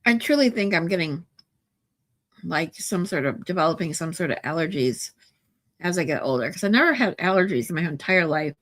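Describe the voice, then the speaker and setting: A woman's voice: on the low side, animated, a little fast, fairly bright tone. A woman in her forties with a stuffy nose, chatting casually with viewers on a livestream, rambling with many ums and restarts. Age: 40s. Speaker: livestream host.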